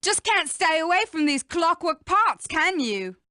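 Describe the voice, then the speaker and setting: A woman's voice: extremely high pitch, very animated, a little slow, sharp tone, dry recording, full character voice. A woman voicing a cocky, flirtatious animal villain in a cartoon video game, teasing and mocking.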